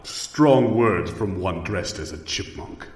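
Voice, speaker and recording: A man's voice: low-pitched, animated, takes it slowly. A man playing a proud, solemn rodent duelist with grave, formal mock-archaic speech in improvised audio comedy. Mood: solemn, disdainful. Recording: echoey hall with long reverb.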